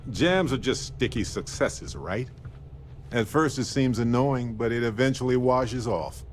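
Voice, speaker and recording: An actor playing an amiable, earnest space explorer companion in a video game, speaking conversationally and sincerely. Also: male voice, medium pitch, a little slow, slight background noise, close to an everyday voice. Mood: friendly.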